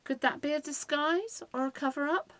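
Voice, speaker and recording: A woman's voice: sits high, normal speed, heavy background noise, natural voice. A woman playing an inquisitive wartime factory worker in an amateur radio play, gossipy and questioning.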